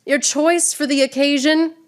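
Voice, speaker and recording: A woman's voice: high voice, steady tone, unhurried, slight background noise. A woman playing a proud, witty swordswoman poet in radio theatre, declaiming verse with mocking precision. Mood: mocking, playful.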